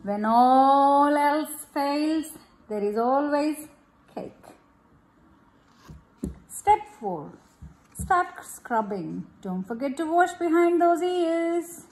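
A woman reading a storybook aloud to a child in an expressive, deliberate way, pausing to ask questions. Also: high-pitched, slight background noise. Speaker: picture-book reader to a child. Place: room at home, at a glass table. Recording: echoey room.

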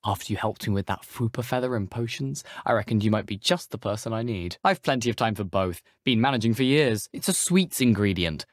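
A man's voice: on the low side, expressive, a little fast, few pauses, dry recording, studio quality, natural voice. A young man with a British accent playing an eager, cheeky teenage wizard schoolboy in a video game, chatty, persuasive and self-assured. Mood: persuasive, scheming. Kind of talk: video game character dialogue.

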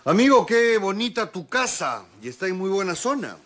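A man's voice: high voice, very animated, moderate pace, fairly bright tone. An actor with a Peruvian accent playing a proud, broke middle-aged man in a TV comedy serial, with a pompous, dismissive manner. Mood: smug, evasive. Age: 40s. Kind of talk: telenovela comedy acting. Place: indoor TV set rooms. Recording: background music under dialogue.

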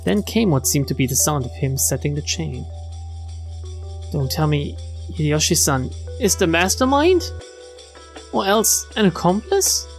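A woman's voice: low-pitched, very animated, unhurried, pauses often. Woman reading a visual novel over music. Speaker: streamer reading game text aloud. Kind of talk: expressive visual novel read-aloud. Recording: game music behind the voice.

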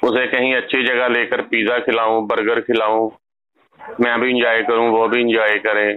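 A man's voice: mid-range voice, little pitch movement, normal speed, clear, resonant tone. A young man talking in a phone conversation, putting off a caller. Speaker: phone call recipient putting off a debt collector. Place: telephone call. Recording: tinny phone line with noise.

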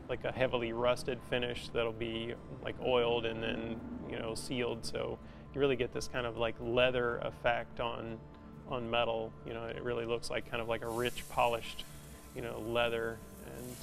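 Gravelly, even-toned man in thoughtful interview. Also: mid-range voice. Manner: halting, thoughtful, filler-heavy casual speech with many "you know"s. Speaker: metal sculptor being interviewed. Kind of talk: reflective documentary interview. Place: large indoor metal workshop. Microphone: lapel mic. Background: instrumental music.

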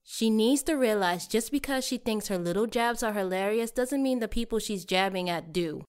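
An actress plays a tough-talking but caring young woman in an audio drama. Her pitch is average for a woman, and her delivery is casual and blunt, with a protective, reassuring manner. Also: moderate pace, clear, resonant tone, lightly acted. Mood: indignant.

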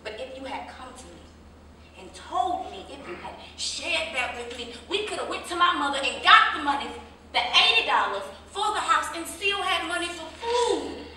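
A woman's voice: high voice, sing-song, normal speed, sharp tone, heavily acted. A woman acting a frustrated, practical young working-class mother in a staged drama reading, speaking emphatically in vernacular with pointed questions. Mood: earnest, reproachful. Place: live staged reading, recorded from a distance indoors. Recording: echoey room, distant mic, faint noise.

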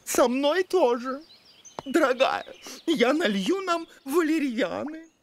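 A high-voiced, slow-talking cartoon gentleman, a refined viola and devoted husband. He is fussy and prim, with affected delivery over faint noise. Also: lively intonation, full character voice. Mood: flustered. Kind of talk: cartoon character acting.

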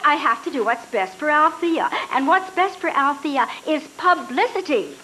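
Brash, fame-hungry young sister. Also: female voice, sits high, moderate pace, soft background music, low-quality recording. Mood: defiant. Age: older. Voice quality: lively, defiant, flippant. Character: brash young woman dreaming of stardom. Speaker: reader's theatre actress. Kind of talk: live radio drama reading. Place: hotel ballroom stage with audience. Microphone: stand mic on stage.

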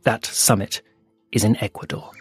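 A man narrating an audio fable in a slow, hushed storytelling manner with dramatic pauses. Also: lowish voice, little pitch movement, very noisy. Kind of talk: scripted audio fiction narration. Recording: soft music underneath.

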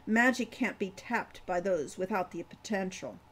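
A woman's voice: mid-range voice, animated, normal speed, a bit bright. A woman voicing a serious, reserved young man who speaks in clipped, restrained lines. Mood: stiff.

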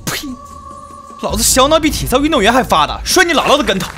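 Radio drama: cocky young man held captive, brash, exclamatory, very animated delivery, played by a male actor. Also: extremely high pitch, quick pace, over music. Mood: sarcastic, irritated. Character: young man captive, cocky, foul-mouthed, sarcastic, defiant.

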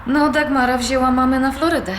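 A woman's voice: sits high, fairly even intonation, brisk, close to an everyday voice. A young woman playing an independent-minded granddaughter, conversational and questioning, in audio drama dialogue. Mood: protesting. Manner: conversational, questioning, mildly protesting. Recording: roomy recording with background noise.

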